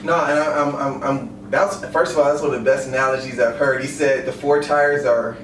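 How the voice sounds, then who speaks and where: A man hosts a relaxed podcast interview in a friendly, encouraging way, with easy fillers between his questions. He sits back from the microphone in a reverberant room, and ambient noise runs steadily behind him. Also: medium pitch, a little fast. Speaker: podcast host, online personal trainer. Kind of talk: casual interview chat. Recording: roomy, distant mic, ambient noise.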